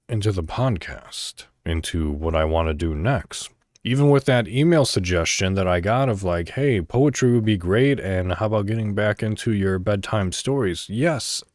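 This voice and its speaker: Deep-voiced American man, dry close sound. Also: darkish tone, no echo. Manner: candid, rambling, thinking aloud. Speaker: podcast host. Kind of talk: casual solo podcast talk.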